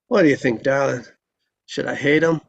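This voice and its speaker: An actor playing a drunken, sardonic, sickly gunfighter gambler in a live western table read, with a gravelly voice and dull sound. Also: male voice, medium pitch, normal speed. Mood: sardonic, provoking.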